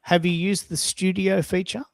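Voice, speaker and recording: A man's voice: sits high, flat delivery, normal speed, creaky, no echo. A man hosting a casual live-stream chat, informal, rambling and blunt in his way of talking. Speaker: host, social media coach.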